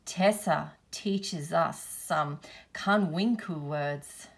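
Slow-paced woman reading a picture book. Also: sits low, creaky. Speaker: storybook reader, teacher. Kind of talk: children's picture book read-aloud.

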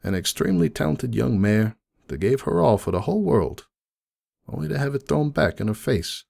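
A man as a gravelly, grieving pony cafe owner. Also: medium pitch, very animated, darkish tone, full character voice. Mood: weary, bitter. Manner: emotional, halting, heartfelt. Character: grieving male cartoon pony cafe owner, kindly big-brotherly, bitter. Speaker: dramatic reader of fan fiction. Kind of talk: dramatic first-person monologue reading.

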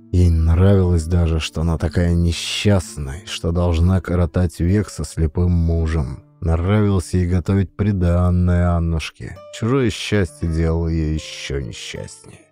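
A man reads classic fiction as an expressive audiobook narrator. His voice is deep and softly dark, with dramatic phrasing over faint music.

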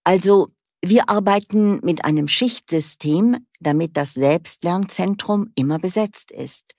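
A formal, courteous female interviewer with a low voice, read by a woman. Heard dry and close, with no room sound. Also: measured pace, darkish tone, dry recording. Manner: formal, polite. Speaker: interviewer at a language school. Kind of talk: scripted learner dialogue.

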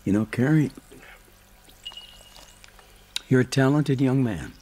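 An actor in a radio drama playing an exacting, domineering American film director who talks deliberately and keeps checking he is followed. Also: mid-range voice, lively intonation, dark tone, soft background music, lightly acted. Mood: stern, reproachful. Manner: deliberate, probing, punctuates with checking questions. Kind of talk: naturalistic radio drama acting.

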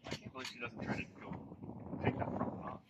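A man on safari thinks aloud in quick, casual speech. His pitch is average for a man, and wind and loud outdoor noise cover the recording. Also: far from the mic, lo-fi sound. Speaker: tourist on safari. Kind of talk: casual chat.

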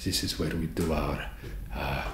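Man doing an awkward, very deep-voiced vampire housemate. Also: echoey room, noisy background. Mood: awkwardly welcoming. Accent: put-on foreign vampire accent. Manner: halting, deadpan, awkwardly friendly. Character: awkward, eager male vampire housemate desperate to seem trendy. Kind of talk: soft-spoken comic ASMR roleplay.

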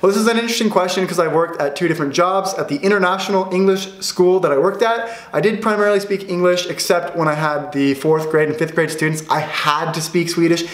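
Young man, American accent, chatty vlog host, upbeat, slightly high voice, echoey home room. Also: steady tone, quick pace, few pauses. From United States. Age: young adult. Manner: upbeat, chatty, gestures a lot with his hands. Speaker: vlogger. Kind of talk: casual vlog Q&A. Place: small room indoors at home. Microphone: camera in front, head and shoulders framing. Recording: roomy echo.

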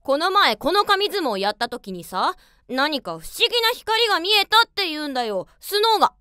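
A woman's voice: sits high, very animated, moderate pace, pushed and bright, no echo, clean studio sound, full character voice. A woman playing a hot-blooded, confident tomboy schoolgirl witch in an anime-style voice drama, brash and emphatic. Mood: chatty. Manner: brash, boyish wording, emphatic.

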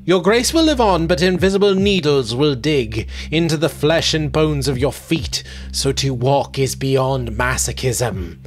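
A man's voice: a little high, voice pushed up. A man voicing a cruel, scornful old sea witch, snappish and imperious, in a dramatic storybook reading.

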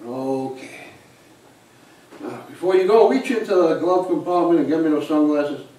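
An older man acting a stubborn, gruff 85-year-old grandfather, an immigrant former cabbie, in a live stage play. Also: on the high side, normal speed, warm tone, close to an everyday voice. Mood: matter-of-fact, reminiscing. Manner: gruff, defensive, matter-of-fact. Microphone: far camera in the audience. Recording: echoey small theater, distant camera, faint hiss.

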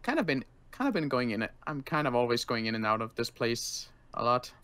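A man voicing a wary but kindly armed guard in an improvised game roleplay, speaking casually and haltingly. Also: mid-range voice, sing-song, a bit bright. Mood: casual, unsure. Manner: casual, halting, hesitant delivery.

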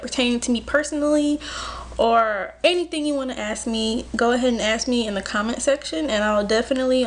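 A woman in her twenties chatting casually to her viewers while she does her makeup, full of "like" and "you know". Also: a little high. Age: 20s. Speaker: beauty vlogger. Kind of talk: casual chit-chat while doing makeup. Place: bedroom at home. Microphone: built-in camera mic at close range.

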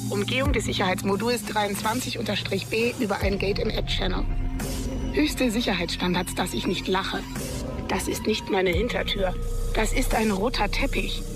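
An actress playing a sardonic hacker dictating a report in a German sci-fi audio drama. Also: female voice, medium pitch, moderate pace, music in the background, close to an everyday voice.

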